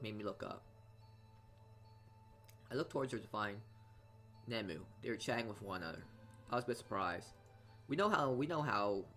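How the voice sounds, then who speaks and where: A man's voice: on the low side, a bit bright. A man reading a visual novel's narration aloud and casually commenting, often stumbling over words. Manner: halting reader, often stumbles and repeats words. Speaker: video game let's player. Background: game music.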